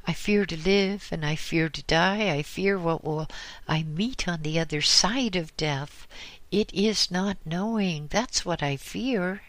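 A terrified old widow pleads with a trembling, beseeching voice, as a narrator puts her on. Her pitch sits higher than the reading voice and she presses hard on her questions. The read is close and dry. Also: takes it slowly, a bit bright, no echo. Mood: frightened. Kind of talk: audiobook character voice.

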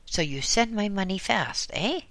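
A greedy, greasy publisher, put on by an audiobook reader insists slowly on his money. His voice is raised and bright, and the recording is dry. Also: high voice, expressive, no room sound, pitched above the performer's own voice, heavily acted. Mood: demanding. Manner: slow, wheedling, demanding.

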